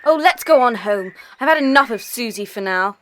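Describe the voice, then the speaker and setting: A woman playing an impatient, sharp-tongued child detective in a children's audio drama. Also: on the high side, expressive, normal speed. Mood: fed up. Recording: noisy old cassette dramatization.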